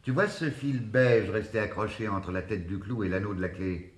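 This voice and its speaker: A man playing a shrewd, patient detective in a crime radio play, probing and calmly assured. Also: lowish voice, moderate pace, natural voice. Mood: assured, explaining. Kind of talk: French radio crime drama acting.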